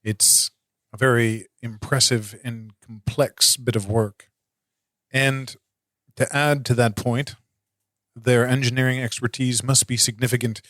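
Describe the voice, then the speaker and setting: Man playing a deliberate, unflappable intelligence officer. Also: medium pitch, level tone, a bit bright, no room sound, close to an everyday voice. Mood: methodical. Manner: halting, measured, formal. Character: calm, deliberate male military intelligence officer, quietly insistent.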